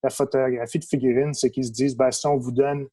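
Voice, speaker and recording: A man's voice: mid-range voice, quick pace, breathy edge. A young adult man with a Québécois accent, native English speaker, chatting casually as a podcast guest, with lots of fillers and restarts. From Quebec. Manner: relaxed, many fillers and restarts. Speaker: guest, toy photographer. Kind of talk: casual conversation.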